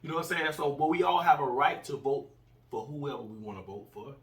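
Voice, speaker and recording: A man in his forties, co-hosting a casual political chat, animated and fond of acting out other people's reactions. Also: medium pitch, brisk. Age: 40s. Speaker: co-host. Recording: echoey room, distant mic, faint noise.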